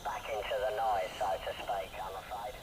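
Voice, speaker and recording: A man's voice: on the low side, flat delivery, moderate pace. A man chatting casually as a radio operator, heard over a two-way radio. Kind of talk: casual radio chat with signal reports. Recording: noisy CB radio reception.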